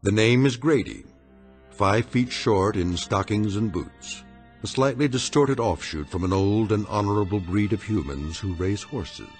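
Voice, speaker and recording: An actor playing an ominous, sardonic narrator of a radio drama, with deliberate scripted delivery. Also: male voice, lowish voice, soft background music, natural voice.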